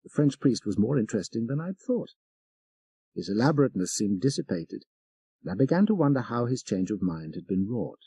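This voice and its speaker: A man reading a supernatural story as an audiobook narrator, with measured, dramatic literary phrasing. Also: medium pitch, very animated, dark tone, husky, dry recording.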